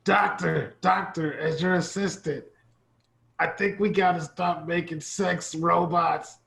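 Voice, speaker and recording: A man's voice: on the high side, fairly even intonation, slow, vocal fry, a bit bright, exaggerated voice. A man playing a worried, earnest laboratory assistant cautioning his boss in an improvised comedy sketch, recorded in a roomy space. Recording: roomy, echoing indoor sound.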